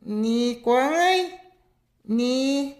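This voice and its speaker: An actress playing a talkative tattletale child with an Ilocano accent in a radio comedy drama, hesitant and questioning. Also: female voice, sits high, extremely slow, reverberant. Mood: hesitant, evasive. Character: talkative tattletale young child speaking to mother.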